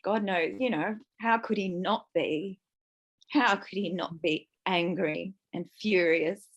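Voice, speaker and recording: A woman in her fifties with a croaky voice, sharing raw, distressed feelings in a book club and swearing as she vents. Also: fairly low pitch, slow pace, dull audio. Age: 50s. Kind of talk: emotional personal sharing.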